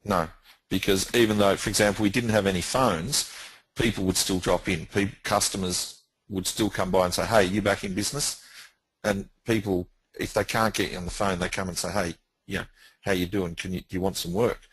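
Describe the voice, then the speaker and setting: A man's voice: deep voice, fairly even intonation, a little fast, a bit bright, husky, no room sound, poor audio. A middle-aged man, a business owner, recounting events in a plain-spoken, emphatic way that repeats itself. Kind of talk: casual recounting.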